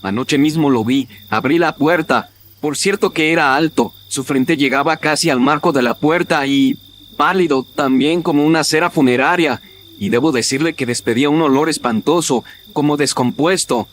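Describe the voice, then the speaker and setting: Horror radio drama servant male actor: lowly, wide-eyed, deferential and excitable, pitched on the high side for a man. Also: normal speed, slight background noise, natural voice. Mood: awed, deferential. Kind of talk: Spanish-language horror radio drama acting.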